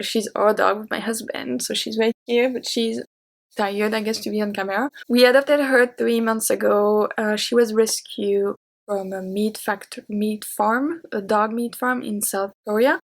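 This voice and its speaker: A woman's voice: fairly high pitch, fairly even intonation, a little slow. A woman in her thirties with a French accent, a French native speaker, answering viewer questions in a chatty, open way. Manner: chatty and open, with light laughs. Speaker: French teacher and YouTuber. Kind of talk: casual Q&A talking to camera.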